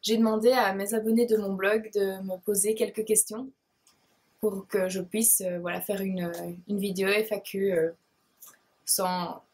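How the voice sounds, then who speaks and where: Woman, twenties, Belgian French accent, husky-soft voice, shy, hesitant, casual vlog talk. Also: mid-range voice, level tone, measured pace. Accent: Belgian French, Liège province. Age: 20s. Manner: hesitant and shy, many fillers and restarts. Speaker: beauty blogger and vlogger. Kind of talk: casual talk to camera, answering viewer questions.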